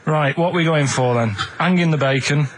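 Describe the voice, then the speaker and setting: A man's voice: fairly high pitch, fairly quick. Man rambling through radio anecdotes. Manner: colloquial, artless storytelling. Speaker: radio show co-host and storyteller. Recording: radio broadcast with clear background noise.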